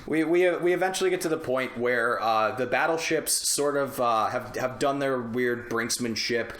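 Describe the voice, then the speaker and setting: Halting, sweary man in a roomy space. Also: mid-range voice, fairly bright tone. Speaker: podcast co-host. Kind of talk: casual movie discussion, profane banter. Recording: roomy, echoey podcast recording.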